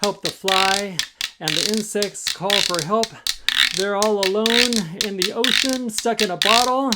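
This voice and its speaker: Male children's author for storytime read-aloud. Unhurried, rhythmic, theatrical, speaking to kids. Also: sits high, very noisy. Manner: theatrical, rhythmic, deliberate, addressing young listeners. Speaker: children's author reading own book.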